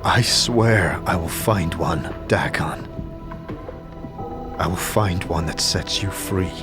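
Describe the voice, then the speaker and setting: A man's voice: sits low, takes it slowly, over loud music. A man playing an earnest, troubled immortal wanderer, questioning urgently and faltering in a fantasy audio drama. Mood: pleading, earnest.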